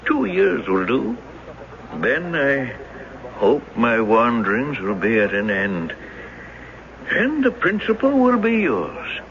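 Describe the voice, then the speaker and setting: A calm ghost in an old radio play, expressive pitch, kinda dull muffled sound. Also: male voice, takes it slowly, vocal fry, long pauses, heavily acted. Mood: calm, assured. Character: calm, atoning male ghost of a former coworker. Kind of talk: old-time radio drama acting. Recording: muffled noisy radio drama recording.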